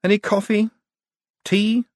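A man voicing a quick-witted, breezy rogue of a banker in an audiobook, casual and dryly ironic. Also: high voice, flat delivery, darkish tone, no echo, clean studio sound, voice pushed up.

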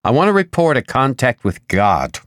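A man's voice: medium pitch, very animated, normal speed, a bit bright, voice pushed up. A man voicing a frazzled, indignant man who pleads urgently in an audiobook. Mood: insistent. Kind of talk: audiobook character dialogue.

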